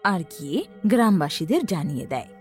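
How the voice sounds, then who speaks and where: A woman's voice: on the low side, sing-song, normal speed, darkish tone. A woman narrating a scripted horror story in a dramatic storytelling style. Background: background music.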